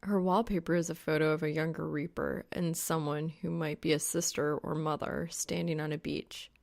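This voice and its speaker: A woman with a fry-edged voice reading scripted fiction narration as an audiobook narrator. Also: deep voice.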